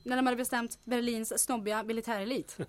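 En kvinna som spelar en skarp, omtänksam och lite skvallrig förtrogen i ett ljuddrama. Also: female voice, fairly high pitch, steady tone, lightly acted. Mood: wry, amused. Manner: inquisitive, confiding. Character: concerned, sharp female confidante, gossipy and wryly amused. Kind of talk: audio drama acting.

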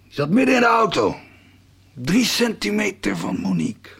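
An actor playing a sick, bitter old man in a radio soap, speaking with a husky, taunting, drawn-out delivery. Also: high-pitched, huge pitch swings, fairly bright tone, full character voice. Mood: grave, confessing. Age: older. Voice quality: gravelly, husky. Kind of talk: 1990s radio soap acting.

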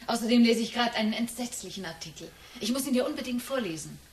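An actress playing an affectionate, probing middle-aged wife in a radio drama. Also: female voice, fairly high pitch, expressive, fast talker, natural voice. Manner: affectionate, inquisitive.

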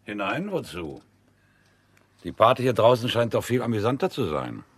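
An actor playing an arrogant, drunken young army captain in a radio drama, mockingly polite and husky. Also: male voice, lowish voice, big pitch swings, normal speed, dry recording. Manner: mocking, drawling, theatrically polite.

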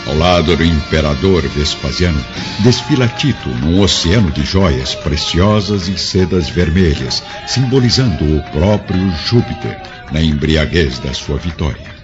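Male narrator, Brazilian accent: very low, highly modulated, solemn storytelling for a radio drama over prominent music. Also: lively intonation, unhurried, hardly any pauses. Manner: solemn, theatrical. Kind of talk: dramatic scripted narration of a historical radio serial. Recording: dull lo-fi recording with music underneath.

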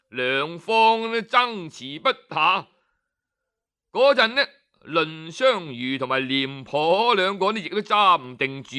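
A man with a Hong Kong Cantonese accent spins an old tale in the traditional storyteller's manner. His voice sits high and carries a clean, smooth tone, and he lingers over particles and pauses for effect. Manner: leisurely, expressive, with drawn-out particles and dramatic pauses.

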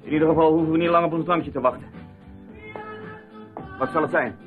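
An actor playing a dry, sceptical man who is quietly in charge, speaking laconically in a radio drama. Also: on the high side, fairly even intonation, brisk, faint music behind, close to an everyday voice. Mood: brisk. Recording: muffled phone-like old radio play.

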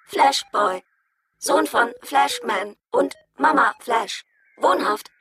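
A robot governess in a children's radio play, high and creaky. She is formal and stiffly official, yet her pitch swings widely, and music plays behind her. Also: big pitch swings, slow, sharp tone, exaggerated voice. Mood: formal, impassive.

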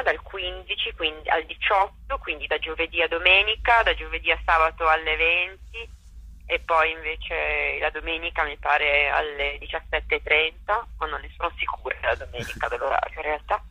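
A woman's voice: fairly low pitch, sharp tone, slightly rough, dry recording, lo-fi sound. A woman talking casually and effusively in an interview as a guest, searching for words and checking she is followed. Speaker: guest, actress presenting a stage show. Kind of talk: casual spontaneous interview talk.

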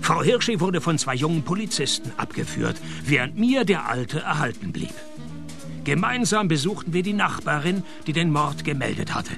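An actor playing a wry, laconic private detective who narrates his case deadpan in a radio crime comedy. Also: on the high side, normal speed, gravelly, music in the background. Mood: dry, deadpan.

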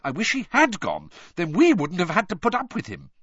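A man's voice: medium pitch, huge pitch swings, moderate pace, fairly bright tone, husky, dry recording, muffled recording, voice pushed up, exaggerated voice. A man voicing an earnest teenage schoolgirl in an audiobook, sniffy and matter-of-fact as she lectures. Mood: indignant. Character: earnest, know-it-all teenage schoolgirl, quick to indignation.

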